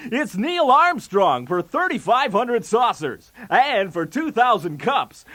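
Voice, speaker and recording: A man's voice: sits high, a bit bright, heavily acted. A man playing a brash, wisecracking 1980s TV game show host with showy, punchy patter. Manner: showy, jokey, with punchy delivery of gags.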